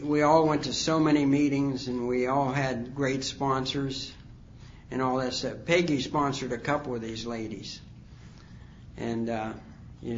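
A man in his sixties telling his recovery story at a conference, rambling and halting with many fillers. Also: medium pitch, highs cut off, a little hiss or hum. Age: 60s. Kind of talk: personal testimony talk. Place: conference hall.

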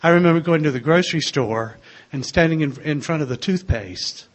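An older man with a soft voice, sharing his own story in a gentle, wry way. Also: on the high side, a little fast. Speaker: speaker sharing a recovery story. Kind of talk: personal testimony to a group.

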